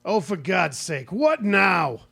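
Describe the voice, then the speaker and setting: A man's voice: high voice, sing-song, slow pace, creaky, a bit bright, breathy edge, heavily acted. A man acting a grumbling, sarcastic working-class husband in a bickering radio comedy-drama, wisecracking in colloquial speech. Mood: exasperated, sarcastic.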